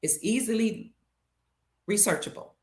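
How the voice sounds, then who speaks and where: Emphatic woman host lecturing in a roomy space. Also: medium pitch. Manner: emphatic, declarative, stacks words in lists; leans hard on key words, slows for stress. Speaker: show host. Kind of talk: monologue lecture to viewers. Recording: roomy echo.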